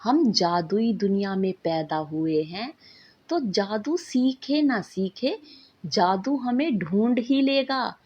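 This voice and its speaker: A woman's voice: mid-range voice, lively intonation, takes it slowly, darkish tone, breathy edge, muffled recording. A woman voicing a lazy, carefree young girl from a magical world in a bedtime story, easygoing and dismissive.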